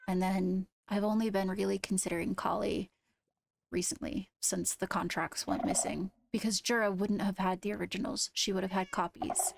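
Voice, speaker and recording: A woman speaking in a measured, deliberate way with formal wording, improvising in-character roleplay dialogue. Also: mid-range voice, creaky. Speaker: roleplayer in a Minecraft court drama.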